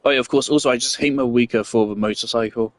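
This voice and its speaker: A young man giving casual, opinionated game commentary, blunt and sweary, pausing as he thinks. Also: mid-range voice, fairly even intonation, no room sound. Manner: blunt, sweary, hesitant phrasing. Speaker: game streamer.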